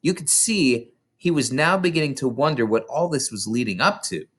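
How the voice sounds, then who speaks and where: Children's book narrator, theatrical, dramatic, very lively intonation, expressive reading. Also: mid-range voice, normal speed, breathy edge. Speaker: storybook reader.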